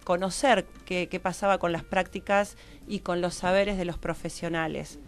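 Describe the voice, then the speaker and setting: A slightly low female voice with a Rioplatense Argentine accent. She gives explanatory answers as an interview guest, circling back and rephrasing as she goes. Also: fairly even intonation, moderate pace, faint music behind. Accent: Rioplatense Argentine Spanish. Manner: restarts and rephrases often. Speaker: guest researcher. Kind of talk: explanatory radio interview talk. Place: radio studio table.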